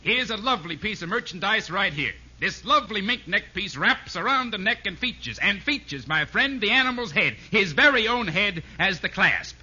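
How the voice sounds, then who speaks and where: Slick, crooked fur dealer, high and piercingly bright, 1950s radio comedy, muffled old recording. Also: male voice, animated, normal speed, few pauses, breathy edge, full character voice. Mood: oily, ingratiating. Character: slick, shady fur dealer, fast-talking salesman.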